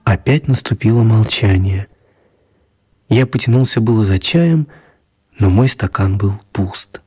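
An actor playing a storyteller, narrating a children's radio tale with measured dramatic pauses. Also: male voice, lowish voice, mellow, breathy edge. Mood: dramatic narration. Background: music.

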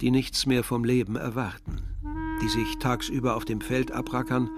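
An actor as a reflective narrator, giving measured literary narration in a radio drama. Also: male voice, medium pitch, flat delivery, close to an everyday voice. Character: reflective male narrator recalling village and brother. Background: music.

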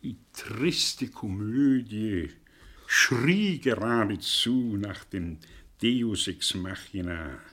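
Grandiose ageing intellectual, widely swooping intonation. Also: male voice, on the low side, expressive, very slow, husky, exaggerated voice. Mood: scornful. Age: older. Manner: grandiose, rhetorical delivery with dramatic swells and hushes. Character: vain, eloquent ageing intellectual, scornful and self-dramatizing. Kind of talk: German radio play monologue, theatrical.

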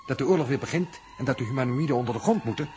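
A man's voice: medium pitch, very fast, darkish tone, close to an everyday voice. A man playing an alert, wry Earthman under siege in a 1960s radio play, on a faintly noisy old recording. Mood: tense, wry.

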